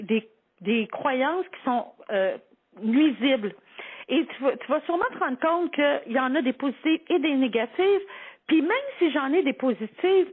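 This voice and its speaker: A woman's voice: a little high, husky. A woman with a Quebec French accent giving a conversational psychology segment on the radio, explaining things informally with everyday examples and imagined quotes. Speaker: psychology columnist on a radio show. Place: radio broadcast. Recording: tinny, phone-like band-limited radio audio.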